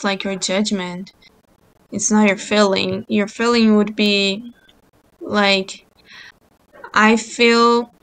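A woman whose first language is Portuguese, leading a book club discussion over a video call and reading passages aloud, with hesitant pauses and frequent ums. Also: medium pitch. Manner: slow, hesitant, many ums.

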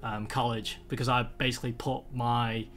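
A man's voice: medium pitch, flat delivery, moderate pace, a bit bright. Young british guy rambling on a home video call podcast, lots of um and like. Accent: British English. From United Kingdom. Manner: rambling, many fillers and restarts. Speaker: podcast host. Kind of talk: casual chat. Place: home room, indoors.

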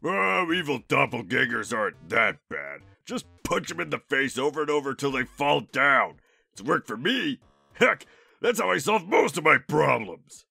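A man voicing a huge, hot-tempered and vain turtle-monster king in a cartoon fan dub, gruff, raspy and blustering. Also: mid-range voice, sing-song, normal speed, bright, forceful tone, no room sound, faint music behind, heavily acted. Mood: cocky.

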